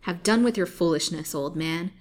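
A woman voicing a sullen, sharp-tongued old wife from a storybook, withering and defiant. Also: fairly low pitch, normal speed, lightly acted.

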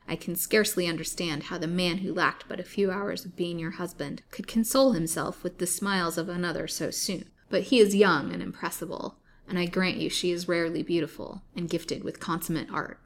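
A woman reading the lines of a scheming male villain, speaking smoothly and commandingly. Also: lowish voice, normal speed, hardly any pauses, slight background noise, lightly acted.

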